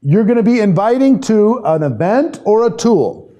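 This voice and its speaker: Man, slightly high-pitched, soft mellow tone, informal online trainer, conversational, thinks aloud. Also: sing-song, normal speed. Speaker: sales trainer leading a live online class. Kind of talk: informal live lesson, reading and answering viewer comments.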